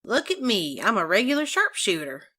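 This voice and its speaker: A woman's voice: medium pitch, wildly swooping pitch, moderate pace, pushed and bright, slightly rough, exaggerated voice. A woman playing a modest, good-humored survivor learning to shoot in a comic dub. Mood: modest, pleased. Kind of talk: dramatic comic dub voice acting.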